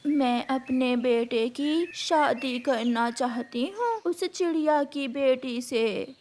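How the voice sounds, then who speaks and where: A fond, determined cartoon mother bird, played by a woman, speaks earnestly in a high voice. The pace is slow and measured. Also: darkish tone, a little hiss or hum.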